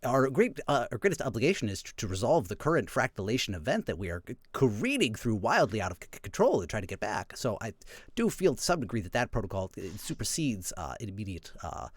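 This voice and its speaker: A man's voice: lowish voice, very animated, fast, no room sound. A man voicing a flustered starship boss in an improvised role-playing game, stammering and rambling in formal, wordy sentences. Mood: flustered, earnest.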